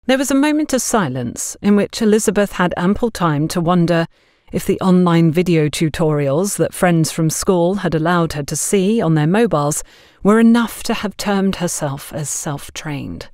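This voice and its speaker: A woman's voice: on the low side, normal speed, a little mellow, dry recording, studio quality. A woman reading a scripted story as an audiobook narrator.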